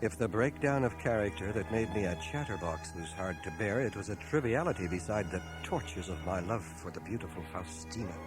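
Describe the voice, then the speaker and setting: A man's voice: fairly low pitch, animated, fairly quick, hardly any pauses, over music, close to an everyday voice, poor audio. An actor playing a troubled, lovestruck man of fifty, narrating his confession in a literate, earnest way for a radio drama. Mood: lovelorn anguish. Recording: 1980 radio drama on home cassette transfer.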